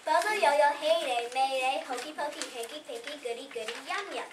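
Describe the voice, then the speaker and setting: A woman performing a read-aloud story in reader's theater, halting in places. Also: sits high. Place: indoor room at a library. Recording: distant, echoey room with background noise.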